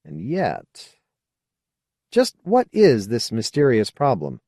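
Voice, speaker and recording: A man's voice: mid-range voice, huge pitch swings, slow, a little mellow, no echo, studio quality, voice pushed up, heavily acted. A man voicing a wary, awkward, questioning male character in an audiobook. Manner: hesitant, questioning. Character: wary, awkward man, skeptical former idealist.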